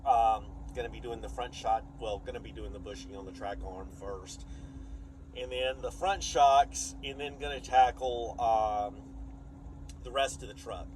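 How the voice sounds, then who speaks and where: A man's voice: mid-range voice, unhurried, darkish tone, rough recording. A man chats casually and plainly in a folksy, rambling vlog style. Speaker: vlogger. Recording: clear vehicle and outdoor noise.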